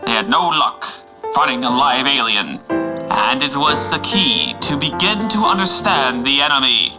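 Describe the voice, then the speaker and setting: Dramatic male narrator over loud music. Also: medium pitch, animated, unhurried, low-quality recording. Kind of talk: dramatic scripted serial narration.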